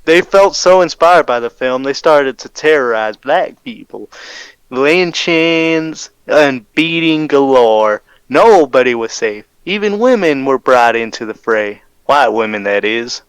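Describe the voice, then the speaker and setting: A man's voice: mid-range voice, animated, a little slow, smooth, no echo, natural voice, low-quality recording. Folksy 1920s radio interviewee acted by a man. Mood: matter-of-fact. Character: folksy man recounting his undercover time in a hate group.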